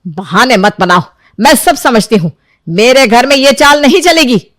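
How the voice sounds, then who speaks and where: A stern, bossy mother-in-law from a cartoon story, played by a woman. She scolds her daughters-in-law in a harsh, commanding tone, her voice a touch high. The recording is dry and close. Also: expressive, moderate pace, a bit bright, no room sound. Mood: scolding. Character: strict domineering older mother-in-law. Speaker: cartoon voice actor. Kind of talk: Hindi cartoon dubbing.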